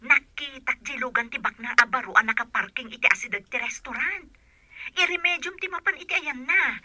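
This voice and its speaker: Sharp, high, conniving young friend played by a woman. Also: brisk, heavily acted. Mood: conniving, urging. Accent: Ilocano. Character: bossy, conniving young friend egging on a scheme. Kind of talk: Ilocano comedy radio drama acting.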